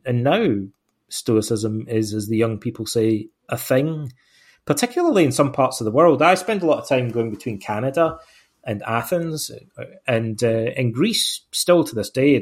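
Middle-aged Scottish author, mid-pitched, chatty and meandering, talks himself around his points. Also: normal speed. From Scotland. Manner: rambling, self-deprecating, many fillers and restarts. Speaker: author being interviewed. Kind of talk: relaxed conversational answers.

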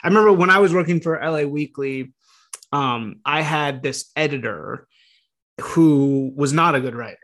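Rambling, animated male podcast host. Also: on the high side, moderate pace. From Chicago, US Midwest. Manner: rambling, animated, many fillers and restarts. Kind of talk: casual conversational interview.

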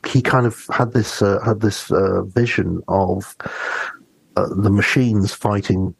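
A man co-hosting a podcast in casual discussion, speaking haltingly as he searches for his words. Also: on the low side, fairly even intonation, gravelly, breathy edge, dry recording, low-quality recording. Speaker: podcast co-host.

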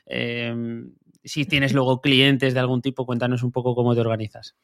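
A man co-hosting a podcast, reading a sponsor spot and chatting with a guest in a relaxed way with many hesitations. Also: mid-range voice, normal speed. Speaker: podcast co-host. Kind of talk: ad read and casual interview.

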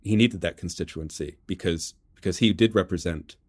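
A man speaking as an interview guest, explaining politics in a measured, analytical conversational way. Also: low voice, darkish tone, no room sound, studio quality.

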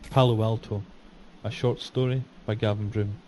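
A man's voice: lowish voice, fairly even intonation, moderate pace, a little mellow, soft background music. A man reading his short story aloud in scripted narration, with some background noise in the recording.

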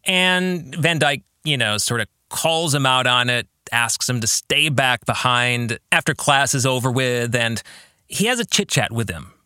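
A man's voice: medium pitch, animated, measured pace, bright, forceful tone, clean tone, no echo, studio quality. A man hosting a reflective faith book discussion, earnest, putting questions to the listener. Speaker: podcast host and book author leading a study.

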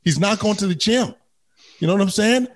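A man's voice: high-pitched, a little fast, slight background noise. A man talking casually and emphatically in a discussion, colloquial and checking that the listener follows.

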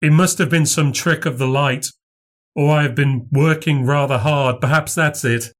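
A man's voice: on the high side, fairly even intonation, normal speed, natural voice. A man plays a shaken husband in a storybook tale of ghostly horror. He speaks with agitated, earnest insistence, as someone badly rattled. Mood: subdued, earnest. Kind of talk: storybook character dialogue.

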